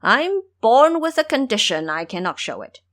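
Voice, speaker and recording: A woman's voice: on the high side, sing-song, moderate pace, a bit bright. A woman voicing a foolish male pig-demon monk in an audio drama, blustering and boastful, pleading when scared. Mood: fearful pleading.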